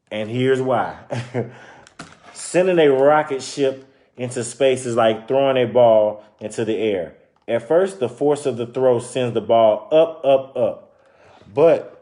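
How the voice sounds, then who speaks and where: A man's voice: mid-range voice, darkish tone. A man reading a children's book aloud, breaking off into informal, conversational explanations and repeating points. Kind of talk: read-aloud with casual explanatory asides.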